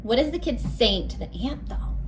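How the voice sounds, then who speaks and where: Very high, animated woman teaching young children. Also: moderate pace, a little hiss or hum. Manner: simple guiding questions, encouraging, addressing young children. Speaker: elementary school teacher. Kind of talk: children's read-aloud lesson, instructional.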